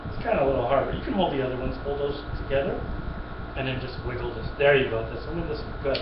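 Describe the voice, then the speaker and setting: A man's voice: medium pitch, fast, noisy background. A man teaching a child piano, patient and encouraging, repeating points and praising often. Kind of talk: one-on-one children's music lesson. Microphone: webcam from a distance. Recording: echoey room, distant dull webcam mic, music.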